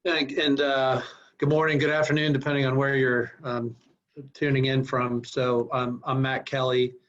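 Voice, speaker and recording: Government guy on a video call, pretty flat delivery, muffled audio, businesslike. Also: medium pitch. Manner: businesslike, fillers, brisk self-summary. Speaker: government official, guest speaker. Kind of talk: panel introduction.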